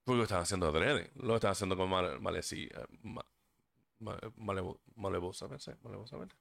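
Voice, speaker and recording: A man's voice: low voice, fairly quick, creaky, a bit bright. Earnest man giving advice. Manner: earnest and preachy, stumbles on words, drifts into English fillers. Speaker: podcast host. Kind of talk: casual solo podcast talk. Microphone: desk microphone.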